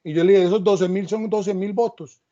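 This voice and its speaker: A man's voice: high voice, fairly even intonation, moderate pace, warm tone. A man moderating an academic panel, reflecting on the topic and adding stories. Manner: reflective, storytelling asides. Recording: dull lo-fi sound.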